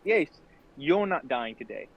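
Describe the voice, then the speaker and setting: A man with a medium-pitched voice plays a tabletop game through a dull microphone. He talks casually, both as himself and in character. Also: sing-song, measured pace, gravelly.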